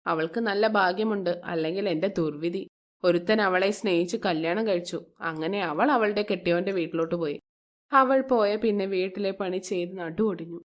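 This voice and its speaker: A woman voicing a bitter, resentful middle-aged stepmother in a moral story, with a sharp, complaining, sarcastic manner. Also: on the low side, normal speed, pitched below the performer's own voice, close to an everyday voice. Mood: resentful, self-pitying.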